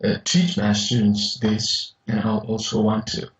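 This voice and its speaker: A university teacher calling in, speaking haltingly with many restarts. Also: male voice, fairly low pitch, flat delivery, roomy sound, distant mic, highs cut off. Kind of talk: caller in a chat.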